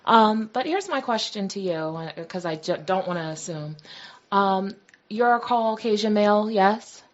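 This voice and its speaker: A woman on a radio panel, putting questions politely and deliberately. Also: mid-range voice, animated. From United States. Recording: dull lo-fi radio audio.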